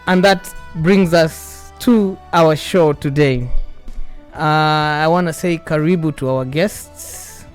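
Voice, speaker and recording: A man's voice: on the high side, slow, soft background music. A man with a Kenyan accent hosting a casual radio interview in a chatty way, mixing in Swahili phrases. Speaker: radio show host.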